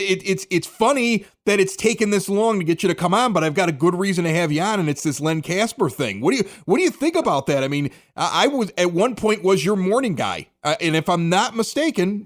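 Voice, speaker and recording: An American man hosting a podcast, talking sports in a casual, chatty, conversational way. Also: on the high side. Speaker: podcast host, former morning radio host.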